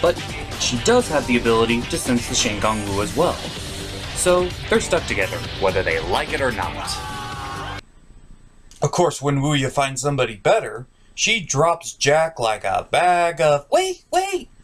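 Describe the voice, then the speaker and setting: A man's voice: mid-range voice, expressive, a little slow, over music. A man delivering scripted comedic review narration as a theatrical, opinionated show host.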